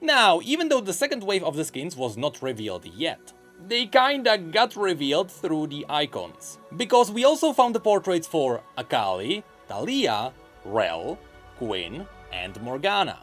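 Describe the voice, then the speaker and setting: A man with a slightly high voice and strongly swinging intonation delivers gaming news commentary. He explains his opinions in a measured, scripted way, with music playing behind him. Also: huge pitch swings, a bit bright. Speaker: gaming news video host.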